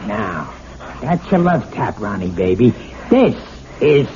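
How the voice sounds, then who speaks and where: An actor playing a menacing, sarcastic abusive husband who taunts and mocks, in classic radio drama style. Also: male voice, fairly low pitch, very animated, slow, noisy background. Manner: mocking, taunting. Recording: tinny old radio broadcast, faint music.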